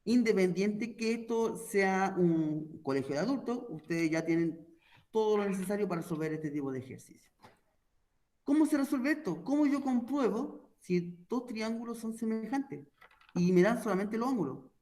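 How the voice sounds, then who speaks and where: This is a man with a noticeably high voice, teaching a math class for a recorded online lesson. He explains step by step in a steady, patient way and keeps pausing to check that his students are following along.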